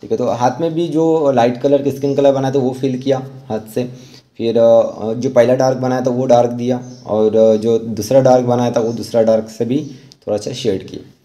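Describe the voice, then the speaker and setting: Man, mid-pitched, dark relaxed tone, art instructor, informal tutorial narration, halting delivery.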